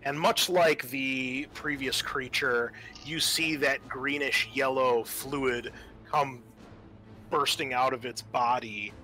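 A man speaking as game master of a tabletop roleplaying game, casual and halting as he thinks aloud. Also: medium pitch, steady tone, sharp tone, soft background music, poor audio.

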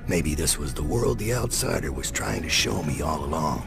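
An actor voicing a grim, guilt-ridden middle-aged master assassin in a video game, brooding, deliberate and menacing, with a gravelly voice. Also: male voice, very deep voice, animated, over music, exaggerated voice. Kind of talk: video game voice acting, dramatic monologue.

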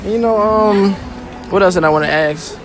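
A man's voice: high voice, normal speed, rough recording. A young man hosting casual street interviews, slangy and teasing in the way he talks. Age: young adult. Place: indoor public shopping mall. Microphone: phone held near the mouth. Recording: busy mall noise, background music.

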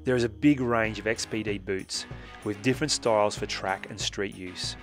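Low-voiced man narrating. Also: fairly even intonation, moderate pace. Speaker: motorcycle magazine presenter. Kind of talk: scripted voice-over narration. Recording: voice-over with music behind.

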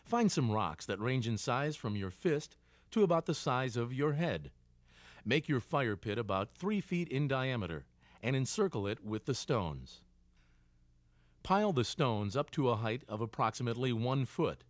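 A man reading scripted instructional narration for a training film in a deliberate, clearly segmented way. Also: medium pitch, very animated, dry recording. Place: dry studio voice-over.

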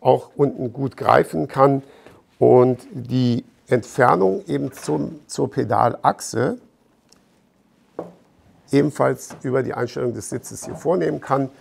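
Very gravelly middle-aged man. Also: medium pitch, slow. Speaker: fitness expert presenter. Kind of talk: informal product explanation to camera. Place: bright gym showroom indoors with large windows.

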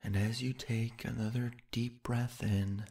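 Male sleep-hypnosis narrator: lowish, husky with some fry, soft, unhurried delivery with long pauses. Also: little pitch movement. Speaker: guided meditation narrator. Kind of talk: sleep hypnosis guided meditation, slow scripted narration.

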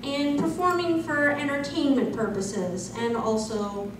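A woman's voice: high voice, fairly even intonation, a little slow. A young woman co-hosting a convention panel, talking casually and humorously from a stage. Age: young adult. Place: small convention panel room. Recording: echoey room, distant camera, noisy.

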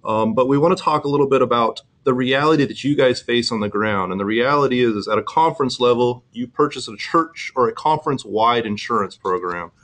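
A man's voice: mid-range voice, a little fast, few pauses, a bit bright. Earnest man presenting an insurance webinar. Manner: earnest, explanatory, with frequent fillers and restarts.

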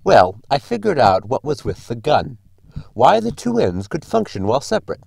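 A man's voice: medium pitch, wildly swooping pitch, normal speed, gravelly, a little mellow, dry recording, exaggerated voice. An actor playing a logical, fussy male robot crewmate in a sci-fi comedy audio drama, speaking precisely and formally. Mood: matter-of-fact.

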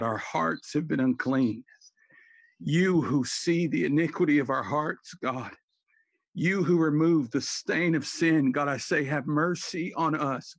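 A man's voice: mid-range voice, creaky, dry recording. A man praying aloud fervently, peppering nearly every phrase with repeated appeals to God. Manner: fervent, constantly interjecting "God" and "Lord". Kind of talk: extemporaneous prayer.

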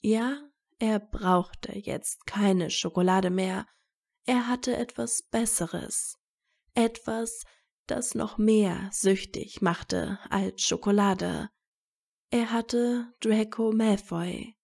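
A woman reading scripted romantic fiction as a narrator, with a measured storytelling delivery. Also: mid-range voice, vocal fry, darkish tone.

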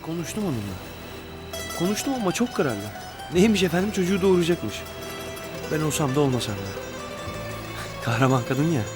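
A man's voice: a little high, sing-song, a little fast, long pauses. A desperate young rich man. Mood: pleading. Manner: pleading, emotional outbursts. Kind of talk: TV drama acting. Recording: TV drama with music score.

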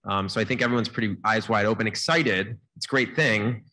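A man's voice: on the low side, fairly quick. A man in his thirties on a conference panel, talking casually and energetically into a handheld mic. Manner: casual, energetic, uses "like" often. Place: conference stage. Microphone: handheld microphone.

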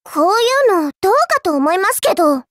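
Very high-pitched, sweetly condescending little girl. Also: very animated, fairly quick, fairly bright tone, no room sound, studio quality, exaggerated voice. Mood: polite, playful. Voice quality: childlike, cutesy. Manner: polite, prim speech with teasing condescension. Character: dainty little girl, politely sweet with condescending, cruel streak. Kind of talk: Japanese mobile game character voice lines.

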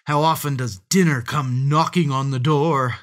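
A woman acts out a sly storybook fox, a greedy hunter savoring a catch. The delivery is bright and forceful, slow and gloating, with dramatic swoops in pitch. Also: big pitch swings, slightly rough, full character voice.

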